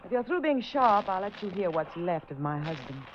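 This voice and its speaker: A hard-boiled, prickly wife whose voice swings widely in pitch. She is curt, brassy and sarcastic in an old radio crime drama, on a dull, noisy recording. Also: very animated, normal speed. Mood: sardonic. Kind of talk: 1940s radio acting.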